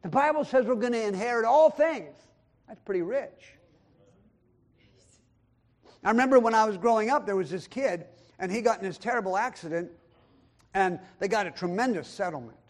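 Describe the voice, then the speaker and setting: A man with a notably high voice delivers a sermon, quoting scripture aloud. He swells up loud on the big points and sinks to a hush on the asides, now and then acting out other people's words. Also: lively intonation, a little fast. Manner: emphatic preaching, swings between loud high lines and quiet low asides; quotes scripture and acts out voices of others in the talk. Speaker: pastor.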